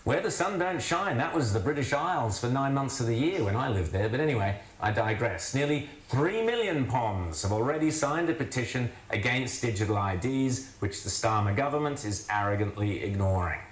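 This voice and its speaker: A man's voice: mid-range voice, expressive, normal speed, few pauses, echoey room. A man from Australia delivering wry, scornful news commentary. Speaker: commentator host.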